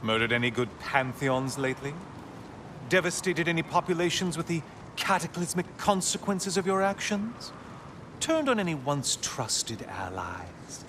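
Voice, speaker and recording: A man's voice: a little high, big pitch swings, unhurried, noisy background. An actor playing a sardonic male sun god, a severed talking head, in a video game, speaking with mocking, theatrical sarcasm. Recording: game audio with loud music behind.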